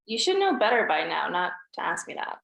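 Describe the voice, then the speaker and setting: A woman's voice: on the low side, sing-song, fairly quick, gravelly, a bit bright, full character voice. A woman reading the part of a wry, teasing young adult daughter. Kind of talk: table read. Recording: roomy echo.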